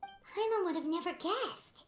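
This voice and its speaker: A woman voicing a tiny childlike floating fairy companion, excitable and exclamatory, in an anime video game. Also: unhurried, reverberant, a little hiss or hum. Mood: surprised. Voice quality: squeaky, childlike. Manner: refers to herself in the third person, exclamatory.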